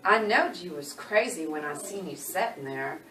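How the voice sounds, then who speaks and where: A woman's voice: fairly low pitch, animated, normal speed, pushed and bright. A woman playing a grieving rural widow in a dramatic novel reading, pleading and defiant in folksy country grammar. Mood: wounded, defiant. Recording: roomy, distant, faint background noise.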